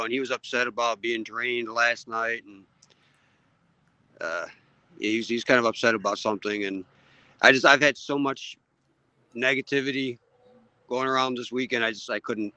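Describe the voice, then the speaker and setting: Bright-voiced man chatting mildly. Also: mid-range voice, dry recording, poor audio. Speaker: guest. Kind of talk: casual chat. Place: indoors by a fire at home.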